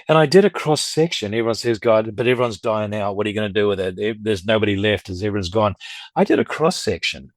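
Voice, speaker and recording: A man's voice: fairly low pitch, lively intonation, quick pace, no echo. A man in his fifties, a record dealer and collector, chatting as an interview guest in a rambling, upbeat way. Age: 50s. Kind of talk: casual interview chat.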